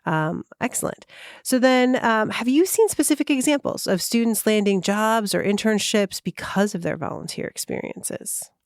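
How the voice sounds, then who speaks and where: A woman's voice: medium pitch, expressive, normal speed, no echo, clean studio sound. A woman hosting a college career-services interview, agreeable and chatty, often hedging with fillers. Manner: warm agreeable listener, many fillers. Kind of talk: casual interview chat.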